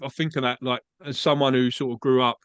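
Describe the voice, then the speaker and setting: A man's voice: mid-range voice, level tone, quick pace, fairly bright tone, clean tone, no echo. A man from England with an Essex accent, hosting a podcast interview in a chatty, agreeable way, often saying "mate". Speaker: podcast host. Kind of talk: casual interview chat. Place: Australia.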